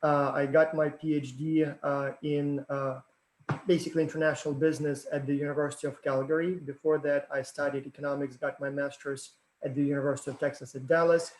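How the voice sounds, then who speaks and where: A man with a Ukrainian accent, a business professor, introducing himself in a steady, matter-of-fact way on a webinar. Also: a little high, fairly quick, echoey room, slight background noise, low-quality recording. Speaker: business professor, program founder.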